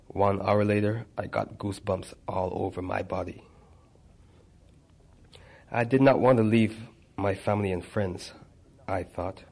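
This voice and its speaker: A man reads a personal memoir aloud in a measured way. His voice sits low and stays flat in tone, and he stumbles and restarts now and then. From Barbados. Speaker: narrator.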